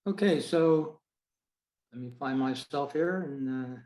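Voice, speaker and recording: A man with a mid-pitched voice hosts an informal online meeting. He speaks haltingly and unscripted, and his video call audio sounds roomy. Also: a little mellow. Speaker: meeting host. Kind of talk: informal club meeting hosting.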